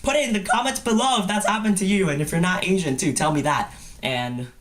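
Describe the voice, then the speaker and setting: A man's voice: high-pitched, fast talker, fairly bright tone. A young man telling personal stories in a goofy vlog style, with playful, exaggerated mock-cute phrasing. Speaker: vlogger. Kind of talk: comedic personal storytelling vlog.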